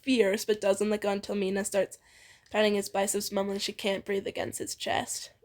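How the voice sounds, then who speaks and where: A woman's voice: medium pitch, completely flat delivery, normal speed, darkish tone. A woman co-narrating fan fiction in an informal, playful storytelling read. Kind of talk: expressive fan fiction reading.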